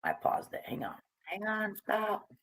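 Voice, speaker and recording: A woman's voice: medium pitch, huge pitch swings, a little slow, gravelly, poor audio. A woman giving casual, opinionated commentary on video clips, with frequent hesitations and fillers. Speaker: commentary YouTuber.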